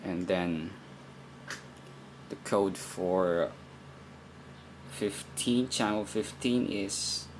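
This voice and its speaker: A man's voice: sits low, drawn-out words, pauses often. A man giving an unscripted, hands-on technical demonstration, thinking aloud with hesitant phrasing. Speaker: radio programming demonstrator. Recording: noisy amateur recording, steady background noise.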